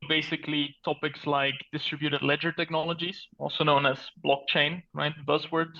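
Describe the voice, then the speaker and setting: Guy from belgium explaining tech stuff on a podcast, bright fairly high voice, kinda muffled audio. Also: level tone, moderate pace, vocal fry. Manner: earnest, explanatory, with fillers like "so" and "basically". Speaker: podcast guest, security architect. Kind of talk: casual technical interview.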